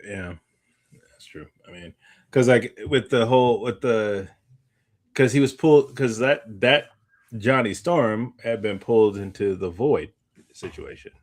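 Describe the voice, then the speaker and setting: A man's voice: fairly low pitch, a little slow. A man co-hosting a podcast in a casual, rambling chat, circling back on his own points. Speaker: podcast co-host.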